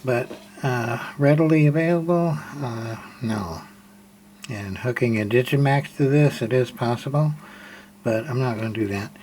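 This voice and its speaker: A man's voice: mid-range voice, moderate pace, darkish tone, rough recording. A man casually narrating hands-on repair work as a hobbyist, plain-spoken and muttering a little as he works. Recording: clear background noise.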